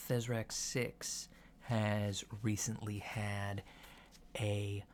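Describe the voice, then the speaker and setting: A man playing a polite, curious alien doctor in a soft-spoken ASMR roleplay, talking in a halting, matter-of-fact way. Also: fairly low pitch, level tone. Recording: lo-fi with clear background noise.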